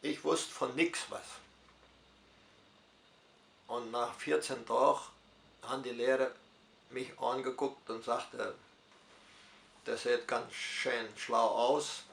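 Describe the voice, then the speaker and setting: A gravelly older man with a Hunsrückisch dialect accent recounts his life at an easy, storytelling pace. He speaks at a middle pitch, and the echoey room around him is clearly audible. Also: steady tone, fairly bright tone. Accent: Hunsrückisch German of southern Brazil. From southern Brazil. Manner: unhurried storytelling with dialect forms and hesitations. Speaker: retired pastor interviewee. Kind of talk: personal life story in interview.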